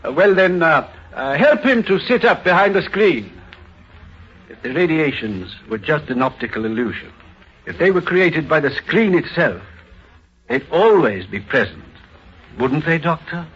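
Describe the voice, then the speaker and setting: A man acting an excited, driven doctor and researcher in a dramatic 1950s radio play style. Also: on the high side, a little slow, slightly rough, full character voice. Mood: assured, triumphant. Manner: dramatic, theatrical. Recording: muffled vintage radio recording.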